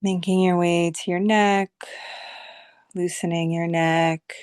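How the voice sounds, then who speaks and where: A woman leading a guided visualization meditation as a teacher, speaking soothingly in short phrases with long, unhurried pauses. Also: lowish voice, flat delivery, a little mellow, clean tone, no room sound, clean studio sound.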